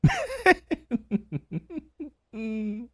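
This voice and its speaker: A man's voice: extremely high pitch, huge pitch swings, drawn-out words, a little mellow, no echo, studio quality, full character voice. A man voicing an ancient dragon in a fantasy roleplay monologue, urbane and amused, savoring his words with veiled menace. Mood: teasing menace. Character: ancient male dragon, urbane, intrigued, vaguely menacing familiar.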